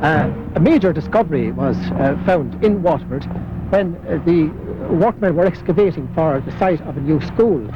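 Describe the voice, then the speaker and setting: A man's voice: a little high, expressive, moderate pace. An Irish man, a local historian, talking as he walks through old city streets, with many hesitant fillers. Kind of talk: walking interview. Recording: muffled 1980s broadcast with street noise.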